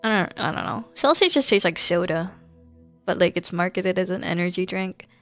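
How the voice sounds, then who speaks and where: A woman's voice: lowish voice, lively intonation, moderate pace, a bit bright. Chatty woman livestreamer with music behind. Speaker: live streamer. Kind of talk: casual gaming livestream chat. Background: background music.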